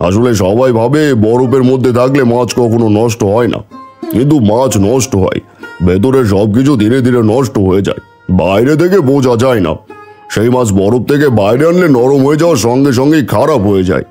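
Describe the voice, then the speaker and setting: Guy doing a calm village doctor in a cartoon, explains stuff, mid pitch, soft music behind. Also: brisk, lightly acted. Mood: reassuring. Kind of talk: cartoon voice acting.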